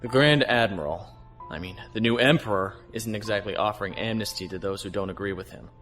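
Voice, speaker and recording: A man's voice: lowish voice, a little fast, fairly bright tone, faint music behind, lightly acted. A man playing a weary, principled starship captain in a science fiction audio drama, reflective and earnest. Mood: uncertain, resigned.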